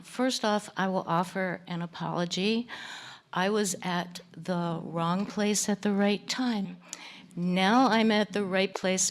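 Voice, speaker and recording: A soft-voiced older woman reads with an unhurried, gentle pace. Her voice sits in an ordinary middle register. She speaks as a poet sharing her work at a live reading. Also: medium pitch. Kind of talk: poetry reading with spoken introduction.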